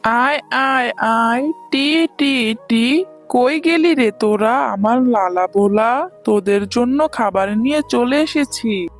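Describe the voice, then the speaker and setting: A woman's voice: a little high, takes it slowly. A woman playing a poor, lonely, doting old village woman in a children's cartoon, speaking plaintively. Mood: calling fondly. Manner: plaintive, lamenting. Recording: music behind the voice.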